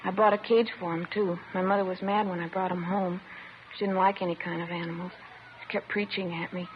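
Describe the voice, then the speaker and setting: A woman's voice: lowish voice, level tone, a little fast, natural voice. An actress playing a guileless, chatty schoolgirl in a 1950s radio drama, speaking in a plain, matter-of-fact way. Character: talkative, guileless schoolgirl daughter questioned by police. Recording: dull, hissy vintage radio recording.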